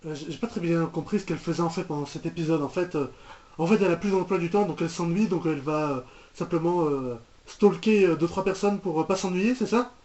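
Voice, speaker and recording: A young man giving casual reaction commentary in a halting, incredulous manner. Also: on the high side, a little mellow. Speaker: reaction video host.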